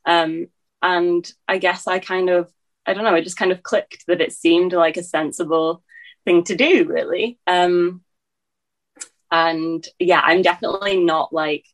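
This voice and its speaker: A woman's voice: fairly low pitch, fairly even intonation, measured pace. A woman in her twenties with an English accent tinged with Scottish, answering interview questions hesitantly, with many fillers and restarts. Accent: English with a slight Scottish tinge. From England. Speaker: guest.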